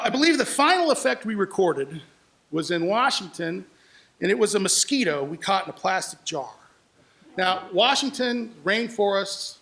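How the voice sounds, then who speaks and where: A man's voice: high-pitched, vocal fry, a bit bright. A man speaking as a sound editor, telling anecdotes in a public presentation.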